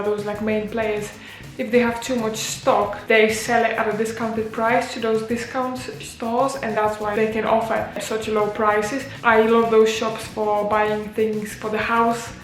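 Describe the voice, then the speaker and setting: A young woman from Poland, whose first language is Polish, chatting casually to camera in a vlog and filling her talk with likes and you-knows. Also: fairly high pitch. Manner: chatty, uses many fillers like "like" and "you know". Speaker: vlogger. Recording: echoey room with faint music.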